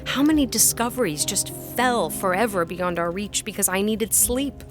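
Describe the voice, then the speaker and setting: A woman's voice: mid-range voice, expressive, moderate pace, over music, close to an everyday voice. An actress playing an earnest, curious woman historian in a gothic audio drama, speaking in articulate, formal and literary phrases. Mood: gentle, thoughtful.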